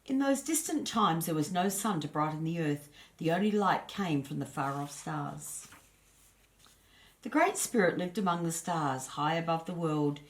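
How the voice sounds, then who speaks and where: Low-voiced woman reading a children's story. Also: animated, a little slow. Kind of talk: scripted children's story narration.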